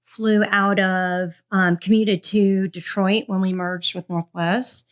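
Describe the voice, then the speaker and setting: A woman's voice: medium pitch, fairly even intonation, takes it slowly, clear, resonant tone. A woman from Miami, a guest on a podcast, talking casually and chattily with many fillers and laughs. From Miami, Florida. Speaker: guest, retired flight attendant. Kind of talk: casual chat.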